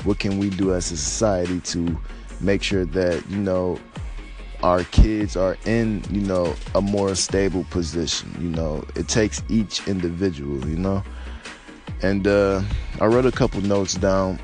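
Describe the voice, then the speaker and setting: A low-pitched American man with a slight rasp speaks off the cuff over music. His delivery is casual and laid-back, wandering and hesitant, with plenty of conversational filler. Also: unhurried, darkish tone. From United States. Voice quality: slightly raspy. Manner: hesitant, laid-back, rambling with frequent "you know" and "man". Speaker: podcaster or vlogger giving personal commentary. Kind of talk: casual unscripted monologue on news and life.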